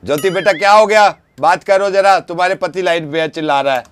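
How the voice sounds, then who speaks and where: A man's voice: sits high, a little fast, clean tone, voice pushed up, natural voice. A man playing an insistent, avuncular old uncle on a radio prank call, repeating his questions. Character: nosy old uncle soothing a quarrelling young husband.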